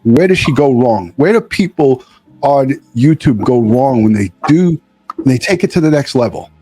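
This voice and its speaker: A man's voice: medium pitch, animated, moderate pace, mellow, no echo, soft background music, poor audio. Blunt man commentating, close dry sound. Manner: blunt, swearing. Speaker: host of a true crime commentary show. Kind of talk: live opinionated commentary.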